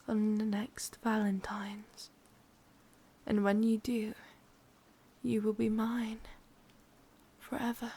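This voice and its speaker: Woman acting as ancient sadistic witch, English accent, breathy whisper, gravelly edge, narrow even pitch, commanding, lovelorn, ASMR. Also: full character voice. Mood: possessive longing. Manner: slow, deliberate, softly menacing.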